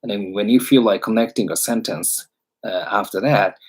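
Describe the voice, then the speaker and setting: A low, husky man answers viewers' questions in a livestream. He explains in halting bursts as he thinks aloud, with plenty of fillers along the way. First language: Japanese. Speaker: English teacher.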